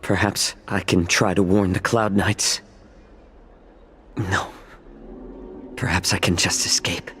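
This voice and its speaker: Low-voiced, wary fox-folk captive. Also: male voice, level tone, slow, pauses often, bright, forceful tone, slight background noise, heavily acted. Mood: wary, pondering. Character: wary, shrewd male fox-folk captive, quietly defiant. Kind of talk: dramatic video game voice acting.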